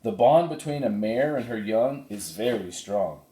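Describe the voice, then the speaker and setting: A man's voice: fairly low pitch, expressive, measured pace, mellow. Guy doing a bookish careful kid from a storybook, earnest n hesitant, roomy sound. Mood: earnest, uneasy. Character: cautious, bookish young boy wanting to seem brave.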